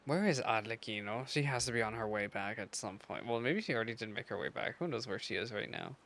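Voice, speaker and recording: A woman's voice: a bass voice, expressive, fast, fairly bright tone. A woman doing casual playthrough commentary, slangy and given to exaggerated phrasing. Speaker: game streamer. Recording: noisy mic, game sound behind.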